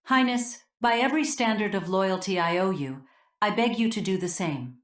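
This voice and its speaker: A woman's voice: medium pitch, normal speed, lightly acted. A woman playing a calculating female demon defector in an audiobook drama, speaking formally and measuredly in a roomy, echoing sound. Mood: urgent pleading.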